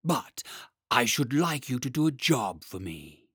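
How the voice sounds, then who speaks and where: Man doing a dragon, storybook, spluttering, slow drawl, sly and polite, dry close sound. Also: mid-range voice, big pitch swings, pushed and bright, breathy edge, no room sound, exaggerated voice. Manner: slow and drawn out.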